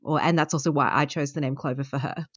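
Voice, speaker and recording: A woman with a very low voice talks through her answers in relaxed conversation, pausing often. The sound is dry and a little dull. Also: no room sound. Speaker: guest player. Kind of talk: casual interview answers.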